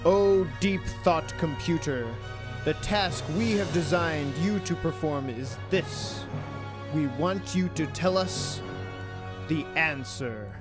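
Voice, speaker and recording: A man's voice: on the high side, takes it slowly, music in the background. A man playing an earnest, impatient computer programmer in a science fiction radio drama, speaking formally with some exasperation.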